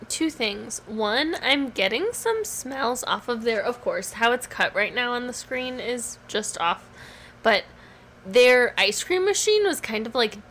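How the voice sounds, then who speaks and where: A woman co-hosting a podcast in casual chat, hoarse from swollen tonsils, rambling with lots of "like" and "um". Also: fairly high pitch, a bit bright, a little hiss or hum. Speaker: podcast co-host.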